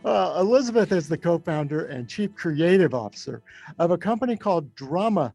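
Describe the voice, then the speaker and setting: A man's voice: fairly high pitch, expressive, fairly quick, creaky, a little mellow. A man speaking as the host of an interview podcast.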